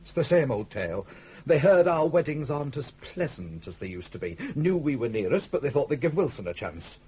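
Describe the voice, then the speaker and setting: An actor playing a gloomy, complaining son with a Northern English accent in a radio drama, his voice rough and husky. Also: mid-range voice, sing-song, fairly quick, a little mellow, lo-fi sound. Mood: glum. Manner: aggrieved, complaining. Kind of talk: 1980s British radio drama acting.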